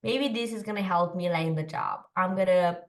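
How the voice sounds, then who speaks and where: A woman in her thirties, originally from Romania, talks through her career as a podcast guest, quick and chatty, with plenty of "like" and "um". Her voice sits fairly low, and she sounds set back from the microphone. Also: recorded from a distance. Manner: quick, chatty, many fillers and "like". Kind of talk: casual interview storytelling.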